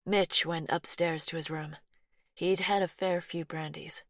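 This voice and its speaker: A woman voicing an anxious, softly spoken young American woman with a light twang. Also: deep voice, steady tone, measured pace, dry recording, studio quality. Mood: defensive, anxious. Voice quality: soft, firm.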